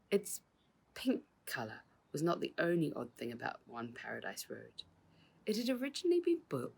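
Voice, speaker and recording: Creaky-voiced woman narrating a children's story. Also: very deep voice. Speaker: author reading aloud.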